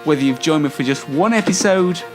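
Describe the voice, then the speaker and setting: A man's voice: a little high, fairly quick, a little mellow, faint music behind. A man hosting a casual comedy show, rambling in a self-deprecating, mock-formal way. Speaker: show host.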